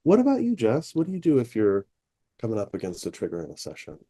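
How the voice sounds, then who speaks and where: A man who works as a therapist, co-hosting a podcast and speaking candidly and reflectively in a relaxed chat. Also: fast talker, slightly rough. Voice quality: relatively deep voice. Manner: reflective, candid, frequent fillers. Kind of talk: casual chat.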